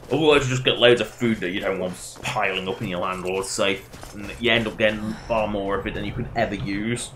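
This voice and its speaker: A man's voice: fairly low pitch, fairly bright tone, a little hiss or hum. A man doing casual live game commentary, rambling and self-deprecating as he chats to his viewers. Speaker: game livestreamer.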